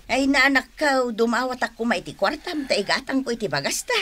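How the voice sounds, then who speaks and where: A woman's voice: a little high, animated, bright, forceful tone. A woman with an Ilocano accent playing an exasperated, nagging mother of a grown son in a Philippine radio drama. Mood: exasperated, pleading. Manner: reproachful, nagging, drawn-out emphasis.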